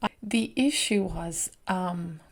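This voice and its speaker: A woman vlogger telling a personal story in an unhurried, reflective way, pausing between thoughts. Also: fairly low pitch. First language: not Spanish.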